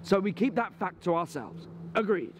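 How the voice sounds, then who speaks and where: An actor playing a cool, calculating Victorian husband in a stage drama, speaking in formal, precise, mocking retorts. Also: male voice, sits high, animated, normal speed, a little hiss or hum. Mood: brisk, calculating. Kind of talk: theatrical period drama acting.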